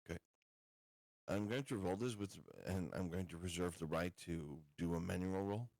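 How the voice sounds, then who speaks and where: A man chatting casually as a player in a tabletop game, a little halting as he talks. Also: low-pitched, darkish tone, no echo.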